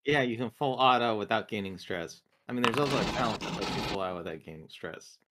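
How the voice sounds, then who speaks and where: Man, tabletop game player, fairly low voice, casual game talk. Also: expressive, fast talker, fairly bright tone, a little hiss or hum.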